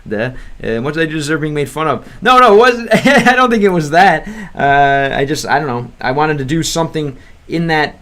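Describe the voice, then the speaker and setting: A man's voice: a little high, animated, moderate pace, hardly any pauses, a bit bright. A young American man streaming casually, rambling with many fillers and restarts, stumbling through beginner Russian. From United States. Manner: hesitant beginner Russian with self-corrections. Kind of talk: casual livestream chat.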